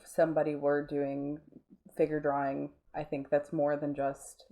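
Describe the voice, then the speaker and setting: A woman with a relaxed, mellow voice on the low side talks in a conversational interview. She explains her points earnestly, often pausing and restarting with plenty of filler words. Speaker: interview guest, art studio owner.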